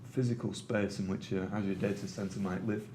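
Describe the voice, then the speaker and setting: Middle-aged Mancunian man, lowish voice, relaxed presenter with lots of ums, faint room ambience. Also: brisk, slight background noise. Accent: Manchester. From England. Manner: conversational, with frequent ums and uhs. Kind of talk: conference presentation. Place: meeting room with an audience.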